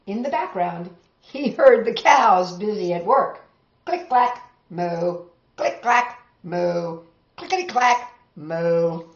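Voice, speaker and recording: Middle-aged woman, storytime host, theatrical read-aloud, very animated, dull roomy camera sound. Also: fairly low pitch. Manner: theatrical, deliberate, chatty asides to young listeners. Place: small living room indoors.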